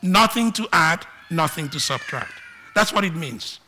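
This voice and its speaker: A man from Nigeria with a Nigerian accent, preaching a sermon and moving between loud emphatic declarations and quiet asides. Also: fairly high pitch, animated, measured pace, slight background noise.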